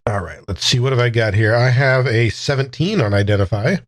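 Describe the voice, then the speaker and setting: A man's voice: medium pitch, animated, fairly quick, background noise. A man casually playing a tabletop roleplaying game, thinking aloud in a tentative way.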